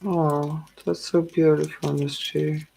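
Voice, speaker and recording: Slow, gravelly-voiced tired woman. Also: sits low, slight background noise. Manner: slow, drowsy, calming delivery. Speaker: tarot livestream host. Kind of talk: casual livestream chat with viewers.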